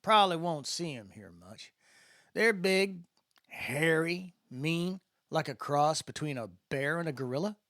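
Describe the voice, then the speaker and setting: A man's voice: on the high side, expressive, measured pace, a bit bright, no room sound, studio quality, voice pushed up. A man voicing an easygoing, folksy spacefarer with a drawl in a dramatic story reading. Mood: folksy, explaining. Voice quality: Southern-style drawl.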